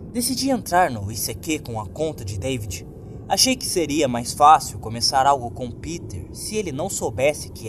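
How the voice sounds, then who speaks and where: A man's voice: medium pitch, noisy background. A man with a Brazilian accent reading a scripted horror story as a dramatic narrator. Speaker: creepypasta narrator. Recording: clear music bed behind voice. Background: music.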